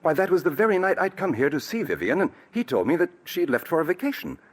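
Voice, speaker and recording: A man's voice: on the high side, very animated, quick pace. An actor playing a scheming male murderer in a 1940s radio drama, nervous and evasive. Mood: calm account. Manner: nervous, evasive, breaks into manic laughing. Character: scheming male murderer, smooth then cracking. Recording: old radio broadcast recording.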